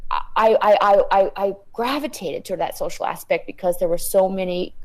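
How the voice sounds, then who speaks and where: A woman in her thirties, a guest on a panel chat, talking earnestly with halting starts and repeated words. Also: mid-range voice.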